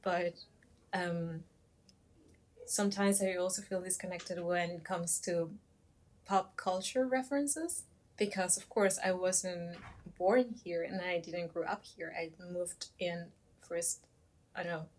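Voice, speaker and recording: A woman's voice: on the low side, fairly even intonation, slow. Hesitant woman from Peru, musing aloud. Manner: thoughtful, many fillers and hedges. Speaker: discussion participant. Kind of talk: casual conversation.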